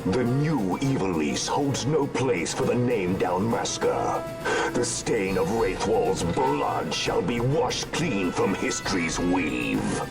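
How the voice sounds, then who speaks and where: An actor playing an armored villain judge, a fanatical imperial zealot declaiming in grand, archaic speech for a game cutscene. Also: male voice, deep voice, sing-song, takes it slowly, creaky, heavily acted. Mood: exultant zeal. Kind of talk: theatrical game cutscene acting. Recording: loud orchestral game score behind. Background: loud music.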